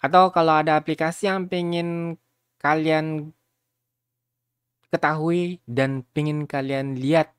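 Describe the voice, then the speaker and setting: A man hosting a casual software tutorial, chatting informally and rambling a bit as he addresses viewers. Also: medium pitch, clean tone, no room sound, clean studio sound. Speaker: video tutorial host.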